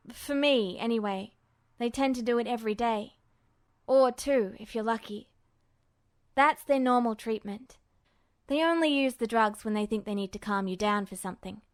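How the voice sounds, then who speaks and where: A woman's voice: fairly high pitch, normal speed, lightly acted. A woman voicing a brash, defensive young man who talks bluntly and informally in an audio story. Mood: defensive, resigned.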